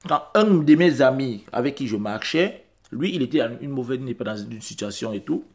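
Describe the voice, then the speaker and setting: A man's voice: medium pitch, lively intonation, fairly quick, a little mellow. A man whose first language is not French, talking straight to camera in an insistent, repetitive way and building to emphatic peaks. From Central Africa. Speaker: vlogger.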